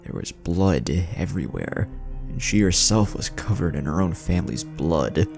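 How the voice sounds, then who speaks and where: A man with a very deep voice delivers dramatic horror narration in the role of a haunted, lovestruck youth. His pitch moves expressively as he broods and halts for effect. Soft music plays behind him. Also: expressive, gravelly. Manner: brooding, halting, with dramatic pauses. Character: haunted, lovestruck teenage boy confessing to crimes. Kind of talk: dramatic first-person scripted narration.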